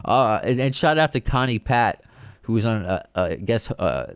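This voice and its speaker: A man from the United States hosting a solo podcast, talking in an excited, rambling way with many restarts. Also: on the low side, moderate pace, clear, resonant tone, no echo, studio quality. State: short on sleep. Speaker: podcast host.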